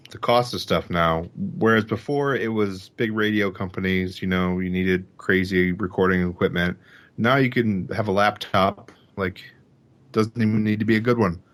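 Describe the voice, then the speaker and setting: A man's voice: on the low side, a bit bright. A man who produces audio dramas, answering casually and a little self-deprecating.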